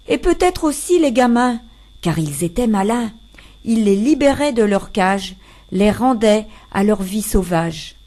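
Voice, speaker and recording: A woman with a fairly high voice recites verse at a very slow pace. Her phrasing is measured and expressive, with deliberate pauses between the lines. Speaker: poetry reader.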